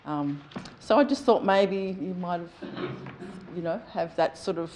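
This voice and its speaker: Hesitant, low-voiced woman. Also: unhurried, a little mellow, a little hiss or hum, poor audio. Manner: hesitant, with many ums. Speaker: community member asking a question. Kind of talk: public question at a meeting.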